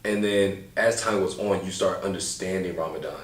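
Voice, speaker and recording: Laid-back deep-voiced man in roomy audio. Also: steady tone, moderate pace. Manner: laid-back, slangy, rambling with many fillers. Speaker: podcast co-host. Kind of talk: casual chat. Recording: roomy, a bit far from mic.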